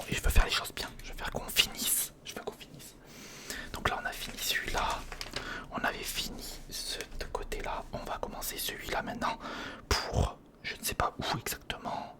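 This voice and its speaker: A man whispering informal ASMR commentary close to the microphone, murmuring step by step to himself and the viewer as he works. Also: moderate pace. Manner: murmured, informal running self-talk addressed to the viewer. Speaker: ASMR video creator. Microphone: close recorder microphone.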